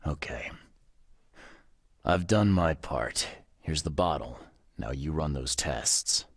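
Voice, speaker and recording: An actor playing a gruff, wry, world-weary private investigator in a video game, speaking laconically in a husky voice. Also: male voice, expressive, slow pace, no echo, clean studio sound, heavily acted. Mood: wry, suspicious. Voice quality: husky, gravelly low voice. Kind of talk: video game dialogue acting.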